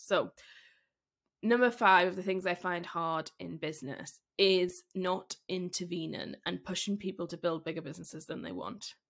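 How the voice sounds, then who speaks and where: A woman talks through her thoughts on a solo podcast, her voice croaky and husky because she has been ill. She sits a little low in pitch and speaks candidly, circling back with fillers and restarts as she works out each point. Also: a bit bright. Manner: candid, thinking aloud with frequent fillers and restarts. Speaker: podcast host.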